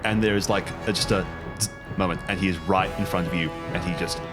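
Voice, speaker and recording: Low-pitched man running a tabletop game. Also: steady tone, fast talker. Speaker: game master. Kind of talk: improvised narration of a tabletop game. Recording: music behind the voice. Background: background music.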